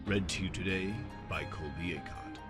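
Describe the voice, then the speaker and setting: A man reading an audiobook as dramatic scripted narration, in a measured, theatrical manner. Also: low-pitched, over music. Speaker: audiobook reader.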